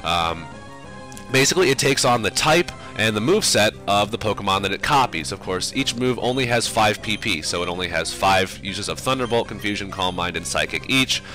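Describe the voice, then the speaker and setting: A young man with a stuffy, sinusy voice from a cold, talking casually over gameplay with plenty of ums and uhs. His tone sits a bit low but comes out bright and forceful, with faint game music running behind. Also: lively intonation, a little fast, rough recording. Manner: casual, rambling, many fillers. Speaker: game commentator. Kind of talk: let's play commentary.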